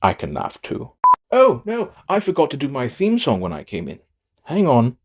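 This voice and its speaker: A man's voice: mid-range voice, huge pitch swings, normal speed, breathy edge. A man playing a sassy, self-absorbed fantasy villain in an audio drama, with a theatrical, drawling and melodramatic delivery. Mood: playful, dramatic. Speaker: fan audio drama voice actor and narrator. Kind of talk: theatrical in-character outtakes.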